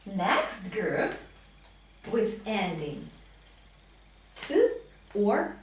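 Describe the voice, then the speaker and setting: A woman teacher speaks very slowly, with big swings in pitch as she drills words. She enunciates each one with deliberate emphasis. The room is heavily echoey and she sounds some way from the microphone. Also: very animated, distant mic, slight background noise. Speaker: English teacher. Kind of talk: pronunciation lesson with drilled example words. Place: small indoor classroom with whiteboard.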